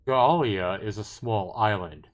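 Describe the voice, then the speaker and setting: A man reading the part of a reserved, courteous elf scout in a visual novel, measured, hesitant and polite. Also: fairly low pitch. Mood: grave, guarded. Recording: music behind the voice.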